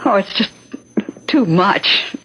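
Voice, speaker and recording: Young wife, husky breathy voice, expressive swings, sceptical, reserved, vintage radio drama. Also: female voice, medium pitch, exaggerated voice, rough recording. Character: doubtful, subdued young wife. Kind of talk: 1970s radio drama acting.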